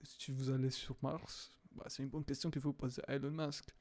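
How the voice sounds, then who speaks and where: A young man casually reacting to videos, hesitant with many fillers, sometimes bursting into loud exclaiming. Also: medium pitch, level tone, moderate pace. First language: not English.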